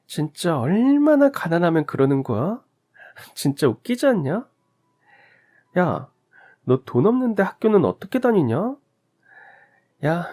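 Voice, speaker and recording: A man's voice: a little high, darkish tone, pitched above the performer's own voice. A spiteful teenage schoolgirl bully in a radio-drama story, her taunts sneering and mocking, as a male narrator puts her on. The voice is pushed well above the narrator's own.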